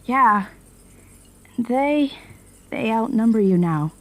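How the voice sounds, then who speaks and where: An earnest female informant played by an actress with a slightly high voice. She speaks tentatively and loyally, as in a natural conversation within an audio drama. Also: expressive, slow. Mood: earnest, concerned.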